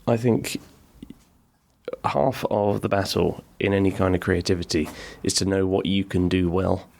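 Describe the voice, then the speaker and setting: Middle-aged man, podcast host, low voice, rambling, self-deprecating chat. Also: steady tone, unhurried, gravelly, a little mellow. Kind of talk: casual chat. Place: small home-built pub in a garden outbuilding.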